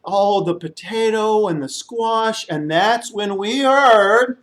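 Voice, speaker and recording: A man's voice: high-pitched, slow, a bit bright, soft background music. A man from the United States telling a story to young children as a puppet show host.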